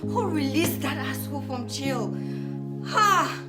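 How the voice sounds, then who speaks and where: Angry, embittered woman, screen drama. High pitch, drawn-out delivery, prominent music underneath. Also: sing-song, exaggerated voice. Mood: furious. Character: upset, bitter woman, angry and cursing. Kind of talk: film drama acting.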